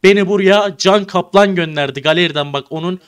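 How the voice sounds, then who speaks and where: A man's voice: sits high, brisk, smooth. A man improvising brash, provocative, slangy banter in an online role-play game. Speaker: gaming video creator playing a troll character.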